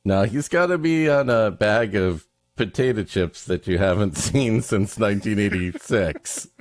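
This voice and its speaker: A man's voice: lowish voice, animated, unhurried, no echo. A man co-hosting a podcast, mixing story reading with wry, casual comic riffs. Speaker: podcast co-host.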